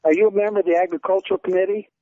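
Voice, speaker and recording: A man's voice: high voice, steady tone, racing, no echo. A man with an American Midwestern accent, a farmer calling in to put questions in a plain-spoken, earnest way over the telephone. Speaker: caller, wheat farmer. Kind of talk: phone-in question. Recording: dull muffled telephone line.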